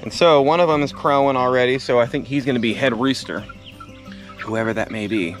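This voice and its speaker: A man's voice: mid-range voice, a little fast, faint music behind. A man in his thirties casually vlogging outdoors by a chicken coop, explaining his plans to the camera and his son. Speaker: homesteading vlogger. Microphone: handheld camera at arm's length.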